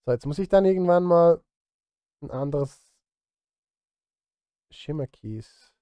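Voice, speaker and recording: A middle-aged man streams from his home studio with a mellow, slightly high voice. He thinks aloud in loose, casual chat, muttering and grumbling at himself. The sound is close and dry. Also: lively intonation, slow pace, clear, resonant tone, no echo. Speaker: streamer and film music composer. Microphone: close studio microphone.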